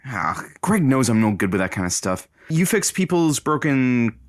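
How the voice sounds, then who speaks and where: A man's voice: mid-range voice, sing-song, moderate pace, dry recording, studio quality, close to an everyday voice. An actor playing a scruffy, grumpy, hapless male radio producer with a blunt, bemused manner in an audio comedy. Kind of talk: scripted audio comedy acting.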